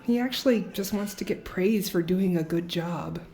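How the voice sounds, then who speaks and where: A woman's voice: lowish voice, moderate pace, mellow, close to an everyday voice. An actress playing a thoughtful, skeptical young woman who muses aloud in a dry, wry way. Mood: musing. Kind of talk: audio drama acting.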